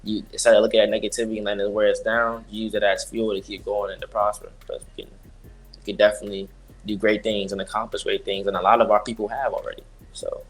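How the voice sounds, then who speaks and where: A man speaks with encouragement, his words tumbling out quickly. His voice is low and stays level throughout. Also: flat delivery, low-quality recording. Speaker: interviewee. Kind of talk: motivational interview.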